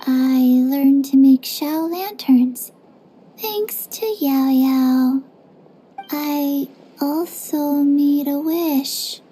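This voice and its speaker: A woman voicing a small, forgetful undead girl who speaks in a slow, flat, halting way for a video game. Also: high-pitched, a little hiss or hum, low-quality recording. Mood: matter-of-fact.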